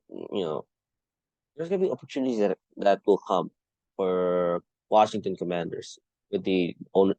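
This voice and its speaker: A man talks through the sports news on his own show, earnest and halting, often circling back to restart a phrase. His voice sits on the low side. The sound is dry, with no room echo. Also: a little mellow, highs cut off. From Philippines. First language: Tagalog. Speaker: podcast host. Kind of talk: solo sports commentary.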